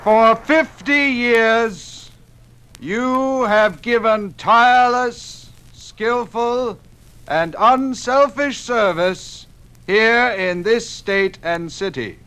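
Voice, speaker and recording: Male oratorical leader, 1950s radio drama, forceful tone, measured and declamatory. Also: very high-pitched, clean tone, heavily acted. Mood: solemn tribute. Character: commanding public leaders giving formal, oratorical addresses. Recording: vintage 1950s radio recording.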